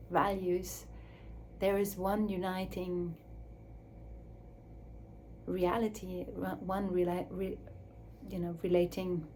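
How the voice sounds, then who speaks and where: A woman speaking English as a second language in a slow, searching reflective talk, with restarts and soft drops to a near whisper. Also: fairly low pitch, a little hiss or hum, rough recording. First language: Swiss German or Dutch. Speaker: speaker on her own channel.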